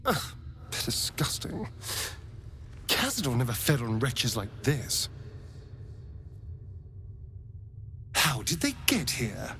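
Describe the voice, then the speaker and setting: An actor playing a vain, guilt-haunted vampire spawn in a video game, with a theatrical, expressive delivery. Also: male voice, medium pitch, slow pace, a little hiss or hum, exaggerated voice. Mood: disgusted. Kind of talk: dramatic video game acting.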